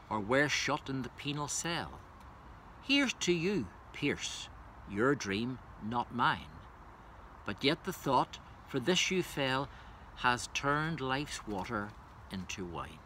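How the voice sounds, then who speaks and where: A man speaking as a poetry reader, introducing and reciting verse in a measured way. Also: mid-range voice, pushed and bright, a little hiss or hum.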